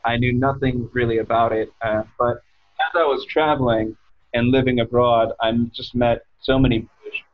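A man's voice: medium pitch, fairly even intonation, moderate pace, phone-quality sound. An American man in his thirties telling his story casually as an interview guest, hesitant and often correcting himself. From United States. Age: 30s.

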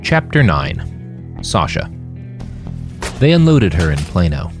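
A man's voice: sits low, big pitch swings, slow, music in the background. A man reading scripted fiction as an audiobook narrator.

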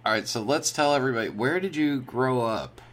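A man's voice: medium pitch, a little fast, fairly bright tone, slight background noise. A man hosting a podcast and interviewing a guest in a casual, friendly manner. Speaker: podcast host.

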